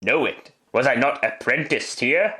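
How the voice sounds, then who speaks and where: A man's voice: mid-range voice, expressive, measured pace, sharp tone. A man playing an old miser stirred by his memories, emphatic and earnest, in a classic radio drama. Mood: earnest, regretful.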